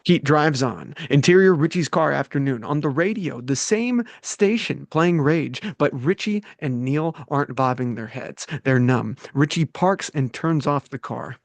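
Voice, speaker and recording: A man's voice: a little high, expressive, a little slow, vocal fry, hardly any pauses, no echo. A man reading scripted stage directions aloud as the narrator of a table read.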